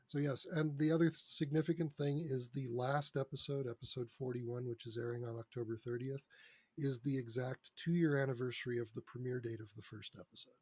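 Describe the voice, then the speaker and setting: A man with a husky voice talking casually and candidly as an interview guest, explaining things through anecdotes. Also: mid-range voice, fairly even intonation, a little fast, a little mellow, dry recording. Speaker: interview guest, radio drama producer. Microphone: close microphone on a remote online recording.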